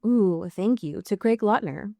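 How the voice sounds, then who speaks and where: An American woman co-hosting a podcast in casual, comedic chat, riffing with mock exasperation and drawn-out emphasis. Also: medium pitch, lively intonation, vocal fry, mellow, no room sound, clean studio sound. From US Pacific Northwest. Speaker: podcast co-host.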